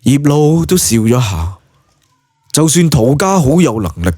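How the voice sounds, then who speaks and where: A man's voice: medium pitch, big pitch swings, a little slow, mellow, slightly rough, no echo, studio quality. A man with a Hong Kong Cantonese accent narrating a serialised novel in a dramatised audiobook style.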